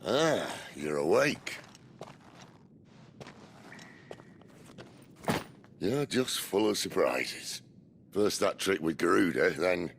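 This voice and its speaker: A man playing a gruff, wry older outlaw leader in a video game, with gravelly, unhurried speech. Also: low voice, slight background noise, full character voice. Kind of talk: video game cutscene acting.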